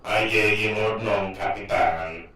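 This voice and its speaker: An actor playing a stiff, dutiful robot manservant with a German accent and a halting, mechanical manner. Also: male voice, lowish voice, fairly even intonation, pushed and bright, slight background noise.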